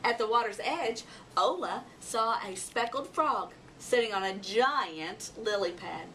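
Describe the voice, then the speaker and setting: A woman's voice: fairly high pitch, animated, measured pace, bright, forceful tone. A woman reading a children's story aloud expressively, acting out animal sounds and voices. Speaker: storybook reader. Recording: noisy, distant microphone.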